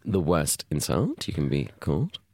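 A man's voice: extremely low pitch, huge pitch swings, moderate pace, dark tone, no room sound. A man co-hosting a podcast, full of teasing, wry banter. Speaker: podcast co-host. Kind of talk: casual comedic chat.

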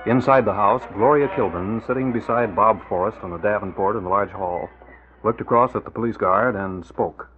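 Low-voiced male radio drama narrator. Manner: dramatic, measured. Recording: muffled old radio recording. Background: music.

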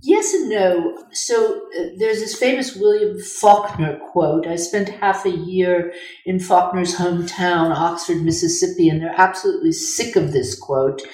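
An older woman, a scholarly interview guest, speaking thoughtfully and precisely, with deliberate pauses in mid-phrase. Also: on the low side, darkish tone. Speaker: guest, moral philosopher and author. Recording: echoey room, distant microphone.

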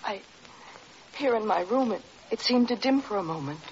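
An actress playing a frightened, self-doubting wife in 1940s radio drama, anxious, halting and pleading. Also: female voice, medium pitch, lively intonation, darkish tone, a little hiss or hum, lightly acted. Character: frightened, doubting young wife being driven to despair. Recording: dull old radio broadcast recording.

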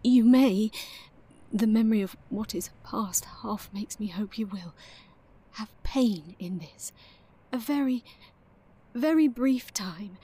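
An actress playing a heartbroken, gently resolute young fiancée in an audio drama, breathy and formal in her delivery. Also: mid-range voice, slow, long pauses, low-quality recording. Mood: sorrowful, resolute.

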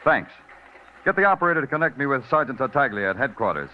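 An actor playing a terse, wisecracking detective lieutenant who also narrates in a brooding tone, in 1940s radio style. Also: male voice, medium pitch, very animated, fast, a little hiss or hum, natural voice. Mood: urgent. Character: hard-boiled male detective lieutenant, terse and dry. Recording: dull old radio recording with music.

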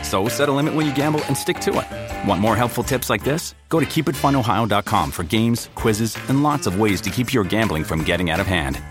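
A man reading a scripted public-service ad in a measured, explanatory way. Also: fairly low pitch, expressive. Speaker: ad announcer. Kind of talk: scripted ad read. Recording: music bed under the voice.

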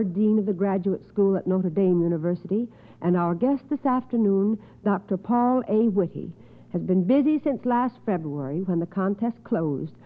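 A woman hosting an old radio quiz show in a courteous, schoolteacherly manner with precise enunciation, affirming contestants. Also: medium pitch. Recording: muffled phone-like old radio recording.

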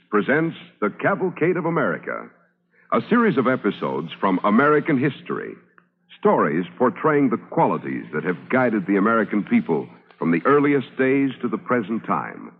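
A man's voice: fairly low pitch, huge pitch swings, moderate pace, creaky, slightly rough. A man announcing in a formal, stately mid-century radio style. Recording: muffled old radio broadcast.

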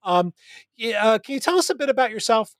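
A man's voice: high voice, fairly quick, clear, resonant tone, no echo, clean studio sound. A man hosting a podcast interview, reading an intro before chatting with his guest. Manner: scripted intro, then relaxed chat. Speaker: podcast host. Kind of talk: interview host.

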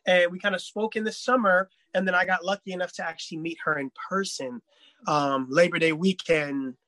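A man in his early thirties talks as a podcast guest, animated and quick to laugh as he tells a story. His voice sits high and sounds bright, and the recording is close and dry. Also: dry recording. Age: 30s. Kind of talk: casual podcast chat.

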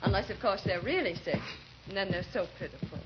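A woman's voice: medium pitch, fast, music in the background, close to an everyday voice. A woman playing a polite, solicitous schoolteacher in an old American radio comedy. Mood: polite, concerned. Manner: polite, solicitous, slightly hesitant. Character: proper, solicitous lady schoolteacher. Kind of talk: 1940s-50s radio comedy acting. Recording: dull, faintly noisy old radio recording.

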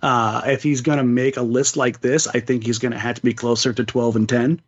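Guy on a sports podcast, kinda low-fi sound, midrange voice, rambles thru his takes casually. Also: level tone, a little fast. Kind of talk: casual sports talk and analysis. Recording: slightly dull, lo-fi sound.

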